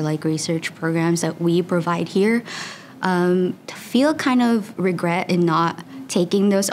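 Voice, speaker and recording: Chatty young woman, lowish voice. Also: darkish tone, a little hiss or hum. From Philippines. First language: Tagalog. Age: young adult. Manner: chatty, frequent "like" fillers. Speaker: student researcher. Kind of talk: casual interview answers.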